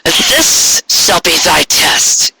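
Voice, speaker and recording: A man's voice: fairly high pitch, sing-song, slow, gravelly, bright, forceful tone, slightly rough, no room sound, voice pushed up, exaggerated voice, poor audio. A man voicing a grandiose, menacing female alicorn tyrant who proclaims in archaic, theatrical royal speech for a story. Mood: threatening.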